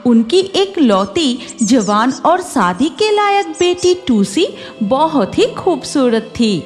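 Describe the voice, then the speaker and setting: A woman narrates a children's story in a high, lively voice. She takes it slowly, and music plays behind her.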